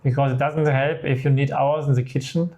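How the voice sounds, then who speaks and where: A man whose first language is German, co-hosting a casual chat and calmly explaining things as he finishes his partner's thoughts. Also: medium pitch, brisk, dull audio. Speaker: channel co-host. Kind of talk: casual conversation.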